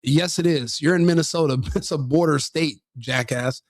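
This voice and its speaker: Sneering crude reaction commentator. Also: male voice, fairly high pitch, moderate pace, dry recording, clean studio sound. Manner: crude, sneering, mocking, laughs often.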